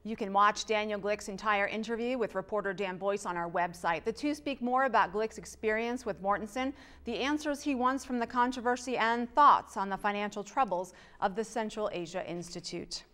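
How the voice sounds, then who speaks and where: A middle-aged woman delivers scripted news from a TV studio. Her voice sits at a medium pitch, and she reads as a news anchor does. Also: normal speed, a bit bright.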